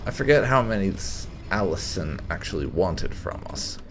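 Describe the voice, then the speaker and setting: A man hosting a casual video game playthrough, haltingly thinking aloud as he comments. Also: sits low, lively intonation, a bit bright, soft background music. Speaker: gaming channel host. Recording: game ambience faintly behind commentary.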